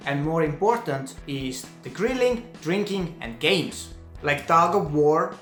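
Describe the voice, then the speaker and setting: A man with an Estonian accent, a native Estonian speaker, narrating his own vlog in earnest, slightly halting English. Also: a little high, a bit bright, echoey room. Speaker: vlogger.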